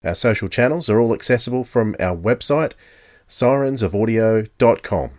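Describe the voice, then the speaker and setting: A man's voice: medium pitch, moderate pace, darkish tone, no room sound, studio quality. A man with an Australian accent giving a scripted introduction as a podcast host.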